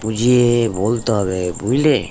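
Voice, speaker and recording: A man's voice: on the low side, moderate pace, close to an everyday voice. A man voicing a helpful, reassuring elder-brother figure who gives advice in a cartoon ghost story. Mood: advising. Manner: reassuring, advising. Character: Poltu-da, helpful, reassuring elder-brother village problem solver. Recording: clear background noise, birds and crickets.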